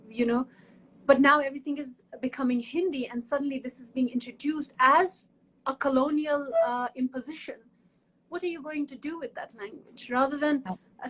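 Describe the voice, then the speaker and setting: Woman talking on a video call, high voice, keeps restarting her sentences, bit of hiss behind her. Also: rough recording. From Kashmir. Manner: earnest, frequent restarts and repetitions. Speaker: guest academic in conversation. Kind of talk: conversational interview talk.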